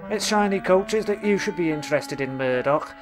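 A man's voice: fairly high pitch, lively intonation, normal speed, faint music behind, voice pushed up, close to an everyday voice. A man voicing a vain, snooty engine, James. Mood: sneering. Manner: haughty and scornful. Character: vain proud male red engine.

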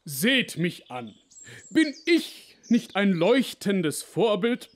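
A man playing a bossy, pompous, self-important cabbage in a children's animated fable, declaiming like a speechmaker. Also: high voice, drawn-out words, heavily acted. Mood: pompous, lecturing.

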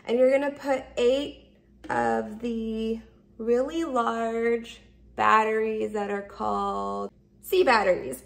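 Higher-pitched woman with a creaky edge. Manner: instructional, repetitive, simple explanations. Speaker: product reviewer. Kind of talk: casual product demonstration, step by step. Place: indoors, open kitchen and dining room at home.

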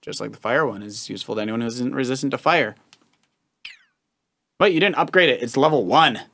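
A man's voice: medium pitch, fairly quick, gravelly, pushed and bright. A man giving casual, playful commentary as a co-host while playing a video game, sometimes slipping into sing-song asides. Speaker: let's play co-host, father playing with his child. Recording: game music behind the voice. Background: video game music.

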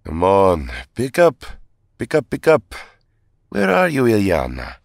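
An actor playing a gentle, bewildered young male giant in an audio drama, with a gravelly, halting voice. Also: on the low side, huge pitch swings, no room sound, studio quality, exaggerated voice. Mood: anxious.

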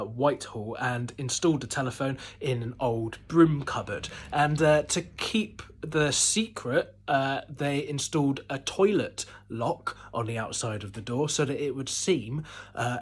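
A young man talks to camera as a museum presenter. His voice is fairly high and husky. He explains things informally, with chatty, wry animation. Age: young adult. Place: indoor museum rooms full of synthesizers.

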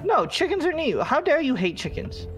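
A woman's voice: high voice, very animated, normal speed. A woman in a roleplay game session, teasing her friends and laughing often. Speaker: roleplay player. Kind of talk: in-character roleplay chat. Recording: game music under voice.

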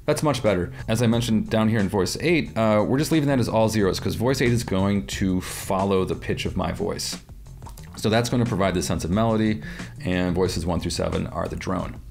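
Low gravelly man calmly explaining software. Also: fairly quick, over music. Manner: calm, methodical explaining with small restarts. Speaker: audio software tutorial presenter. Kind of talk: screen-recorded software tutorial. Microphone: headset-style headphones, webcam inset.

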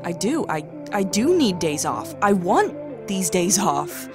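A woman acting a loyal, weary young woman in an audio drama, with a husky voice and music underneath. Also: medium pitch, sing-song, slow. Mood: affectionate, earnest.

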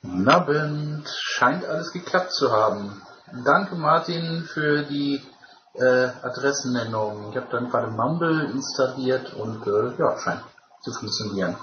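A man from Hamburg, an activist, introducing himself in a relaxed, joking way over an online voice chat. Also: mid-range voice, a little slow. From Hamburg, Germany. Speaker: activist, participant. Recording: echoey, muffled, noisy online voice chat.

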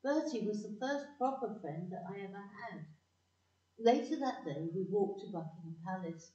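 A woman's voice: medium pitch, expressive, normal speed, warm tone, lightly acted. A woman playing a narrator fondly recalling her childhood in a community audio play. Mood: nostalgic. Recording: dull, echoey, slightly distant.

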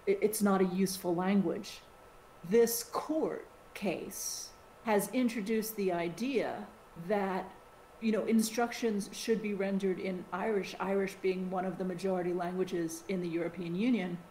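A middle-aged woman from the United States, co-hosting a podcast in a casual, animated chat with frequent restarts and side remarks. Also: mid-range voice, unhurried. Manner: chatty, animated, with many restarts. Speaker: podcast co-host. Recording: roomy sound with faint hiss.

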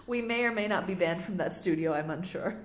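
Female podcast host, slightly low voice, casual and drily understated, echoey room sound. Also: brisk. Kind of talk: casual chat. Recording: roomy, echoing sound.

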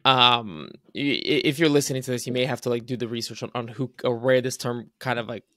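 A young man from Bulgaria, a native Bulgarian speaker, talking casually and quickly as a podcast guest over a video call from home, using lots of filler words. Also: mid-range voice, bright, forceful tone, dry recording, clean studio sound. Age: young adult. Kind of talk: casual interview talk. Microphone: studio mic on desk.

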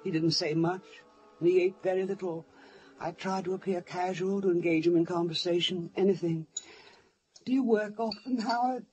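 A woman's voice: fairly low pitch, moderate pace, a little mellow, lo-fi sound, faint music behind, lightly acted. An actress playing a frightened older woman in an old radio thriller, anxious, pleading and placating. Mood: tense narrating. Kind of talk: 1940s-50s radio thriller acting. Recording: noisy old-time radio recording.

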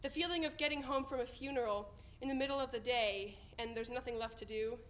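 A young woman performs slam poetry on an indoor stage. She has a high-pitched voice and delivers her phrases with emphasis, building them in rhythmic runs. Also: fairly quick, a little hiss or hum. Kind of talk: spoken-word poetry recital. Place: indoor stage in front of a curtain.

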